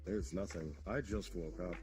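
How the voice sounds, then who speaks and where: A man's voice: deep voice, normal speed, music in the background. A man speaking casually in character during a voice-chat roleplay, laid-back and rambling. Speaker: roleplayer playing the demon king.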